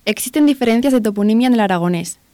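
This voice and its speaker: A woman's voice: a little high, lively intonation, fast, warm tone, no room sound, studio quality. A woman with an accent from Spain reading a scripted radio news report. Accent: Spanish from Spain.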